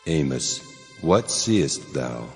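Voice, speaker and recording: A man's voice: a bass voice, sing-song, very slow, darkish tone, full character voice. A man playing God as a stern, wrathful, commanding deity in a dramatized Bible reading, speaking solemnly. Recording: long cavernous reverb, music behind. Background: music.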